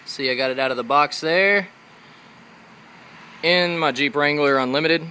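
A man's voice: on the high side, unhurried, bright, forceful tone, slight background noise. A man hosting a casual hands-on tutorial, explaining each step informally as he goes. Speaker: host of a DIY vehicle tutorial. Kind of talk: casual hands-on how-to narration. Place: in and around a parked car outdoors in daylight.

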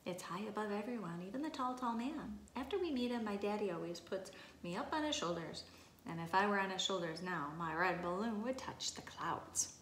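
A woman's voice: medium pitch, a little fast. A woman reads a children's storybook aloud with expression, in a mid-range voice. The sound is roomy and echoey, with noise behind her. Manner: expressive, acting out exclamations and dialogue. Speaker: storybook reader. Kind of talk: children's picture book read-aloud. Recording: echoey room, clear background noise.

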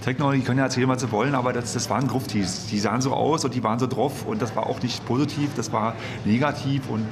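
A man giving a spontaneous interview recollection, in a halting, colloquial and blunt way. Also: medium pitch, steady tone, noisy background. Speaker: interviewee recalling the techno scene. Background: loud music.

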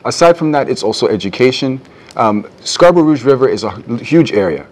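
A man's voice: medium pitch, expressive, a little fast, darkish tone. A young man answers questions as a candidate in a televised studio debate. He talks earnestly and now and then stumbles and restarts a word. His voice comes through a clean lapel mic. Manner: earnest, some stammering restarts. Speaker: political candidate.

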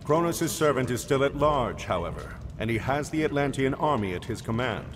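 A man's voice: mid-range voice, big pitch swings, a little fast, background noise, lightly acted. An actor playing a solemn, wise father guiding his son in a video game cutscene.

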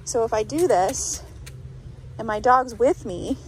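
A woman with a slightly high voice gives a dog-training lesson as she walks a dog outdoors, explaining each step clearly in a relaxed, conversational way. Street traffic and outdoor noise stay clearly audible behind her. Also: a little slow, poor audio. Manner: talking while walking a dog. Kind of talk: instructional walk-and-talk. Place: outdoors by a creek in a town center.

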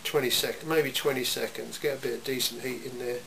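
A man giving an informal, matter-of-fact hands-on demonstration in a workshop, speaking in a measured way. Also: medium pitch, fairly even intonation, gravelly, sharp tone. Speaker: welding demonstrator. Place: indoor cluttered workshop. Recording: hissy, noisy amateur camera sound.

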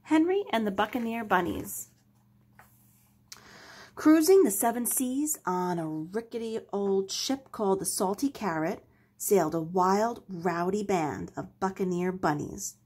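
Husky woman reading a storybook aloud. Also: mid-range voice, expressive, a little slow, a little mellow. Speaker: storybook reader. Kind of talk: scripted children's story narration.

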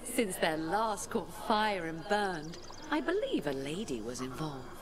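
An actress playing a wry ghostly female spirit in a video game, speaking in a measured, theatrical way. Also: lowish voice, sing-song, a crowd in the background. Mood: wry storytelling. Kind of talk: video game dialogue acting. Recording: game audio with music behind.

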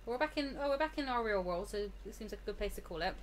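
A woman's voice: medium pitch, animated, fast. A woman hosting a game playthrough, switching between reading aloud and casual chat. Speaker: gaming video host. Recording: game music behind the voice.

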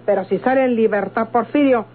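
A woman's voice: on the high side, fairly quick, lightly acted. An actress playing a sly, defiant country woman with a rural Mexican accent and a needling manner, in an old radio drama. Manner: needling, insinuating. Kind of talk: golden-age Mexican radio drama acting. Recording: tinny, noisy old radio recording.

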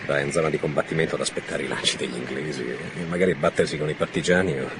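An actor playing a brooding, dogged police commissioner in a radio drama, reasoning aloud in pensive mutters. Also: male voice, a bass voice, fairly even intonation, fast, background noise. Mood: wry, determined. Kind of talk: Italian radio drama acting.

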